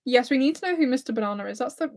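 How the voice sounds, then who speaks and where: A woman's voice: high voice, animated, racing, darkish tone. A woman in her twenties with a mix of Lancashire and Scouse accent, chatting nervously and giggling on a live stream while reading viewer comments. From England, near Blackpool. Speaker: YouTuber hosting a livestream.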